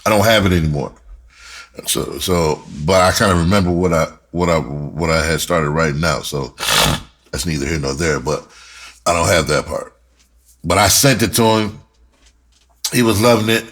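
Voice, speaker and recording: A man's voice: sits low, normal speed, pauses often, fairly bright tone. A middle-aged American man telling a personal story casually and candidly, swearing freely and repeating words for emphasis. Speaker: rapper and writer telling their story.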